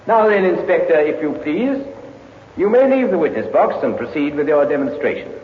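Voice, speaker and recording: An actor playing a formal, probing prosecutor, courteous and theatrical, in a 1950s radio drama. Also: male voice, a little high, lively intonation, normal speed, lightly acted. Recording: tinny echoey old radio recording.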